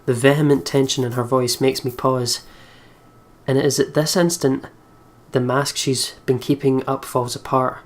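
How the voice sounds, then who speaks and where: A man's voice: mid-range voice, level tone, moderate pace. A man reading visual novel narration aloud as a let's player.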